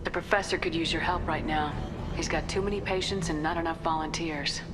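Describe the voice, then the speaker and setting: An actress playing a principled, resolute military starship commander in a video game, speaking in a firm, measured way. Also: female voice, low voice, fairly even intonation, background noise, close to an everyday voice. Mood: businesslike. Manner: firm, measured, matter-of-fact.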